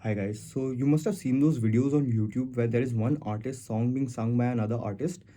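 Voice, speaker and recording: Man with a very dark, muted voice. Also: mid-range voice, fairly even intonation, a little fast. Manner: step-by-step explaining. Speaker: tutorial host. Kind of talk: casual screen-recorded software walkthrough.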